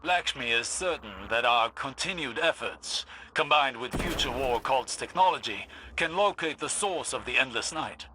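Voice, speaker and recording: A man's voice: mid-range voice, expressive, moderate pace, noisy background, natural voice. A man playing a guarded older warlock scholar in a video game, brusque, assured and dismissive. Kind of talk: dramatic video game acting.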